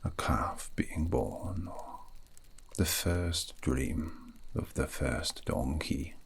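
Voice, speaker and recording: A man playing a gentle, wistful elven dream mage in an ASMR fantasy roleplay, murmuring slowly and poetically in a breathy, gravelly half-whisper. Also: extremely low pitch, full character voice. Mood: musing, gentle. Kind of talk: ASMR fantasy roleplay, soothing sleep guidance.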